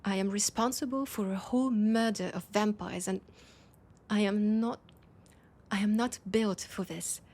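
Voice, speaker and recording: A woman playing a proud but desperate vampire leader in an intimate audio drama, with a French accent and a halting, breathy delivery that slips toward whispers. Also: mid-range voice, steady tone, a little mellow. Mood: pleading, ashamed. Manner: hesitant, halting, breathy asides dropping into whispers.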